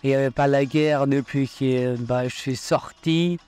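A man from Afghanistan speaking slow, halting in a documentary interview. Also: medium pitch, fairly even intonation, darkish tone, clear, resonant tone, faint music behind. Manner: slow, halting non-native speech.

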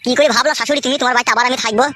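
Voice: sits high, fairly even intonation, very fast, sharp tone. A performer voicing an angry, stubborn, foul-mouthed young son-in-law who scolds and argues in a comedy cartoon. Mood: indignant. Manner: scolding, argumentative, crude.

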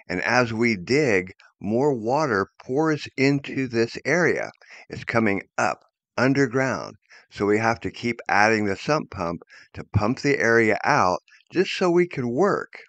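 A man narrating a drainage how-to video in a steady, instructive voice-over. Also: mid-range voice, measured pace, no echo.

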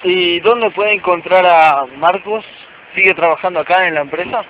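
Amateur actor as suspicious Argentine man, higher-pitched voice. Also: moderate pace, lightly acted. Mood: insistent. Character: Elías, young man seeking truth about his brother, suspicious. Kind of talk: amateur detective radio play acting. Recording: noisy, muffled amateur radio drama recording.

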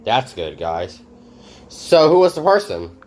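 A man's voice: mid-range voice, sing-song, slow, background noise. A man hosting a reaction video, rambling casually and addressing viewers as "guys" in nearly every sentence. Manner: rambling, says "guys" constantly. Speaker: reaction channel host.

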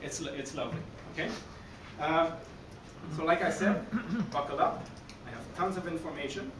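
A man with a Québécois French accent talks energetically to a room of coaches. His voice sits a little high, and he often tosses in "okay?". He is recorded from far off in a roomy, echoey hall with noise underneath. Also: moderate pace. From Quebec City, Canada. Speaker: baseball coach giving a conference talk. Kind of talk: conference presentation. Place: dark conference hall, speaking in front of a screen. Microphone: room recording from a far camera. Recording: echoey hall, heard from afar, noisy.

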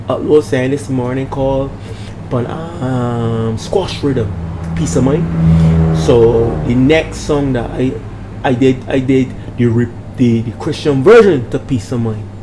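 An older man with a Bajan accent talks casually as a minister hosting his vlog, preachy and emphatic, repeating phrases and checking in with listeners. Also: medium pitch. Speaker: minister and channel host. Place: small room at home, indoors. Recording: noisy room with steady background hum.

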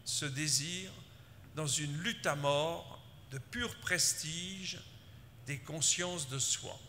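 A man delivers a formal, measured talk in a very bright, sharp-edged voice, pitched a little high. He speaks slowly into a handheld mic, with a long hall reverb and faint hum behind him. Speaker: panel speaker. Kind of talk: reading a prepared talk.

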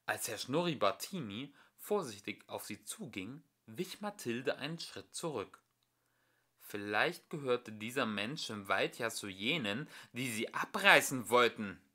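A man's voice: mid-range voice, expressive, measured pace, pushed and bright. A man narrating a children's storybook expressively, as an amateur audiobook reader.

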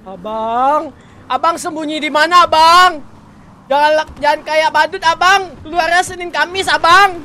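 A streamer in online roleplay, calling out repeatedly in excited, taunting banter. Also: sits high, measured pace.

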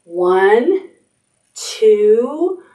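A woman's voice: fairly high pitch, big pitch swings, extremely slow, dark tone. A middle-aged woman talks to toddlers in short, repeated, encouraging instructions. She takes her time between phrases. She is picked up from a few metres away in a roomy indoor space. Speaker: children's librarian leading a toddler storytime. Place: indoor room decorated with stuffed animals. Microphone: camera a few metres away. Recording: roomy, distant from the mic.

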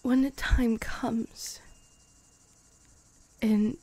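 A woman voicing a loving young vampire girlfriend in an intimate, improvised ASMR roleplay, tender and hushed, often slipping into whispers, close on the microphone. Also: fairly high pitch, fairly even intonation, very slow, no echo. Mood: fearful, devoted. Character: loving young vampire girlfriend, playful yet afraid of loss.

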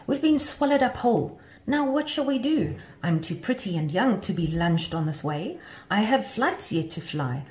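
A woman's voice: lowish voice, lively intonation, normal speed, darkish tone, reverberant, slight background noise, lightly acted. A woman voicing a vain, bossy young female butterfly in a children's story, haughty, scornful and theatrical. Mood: dramatic panic.

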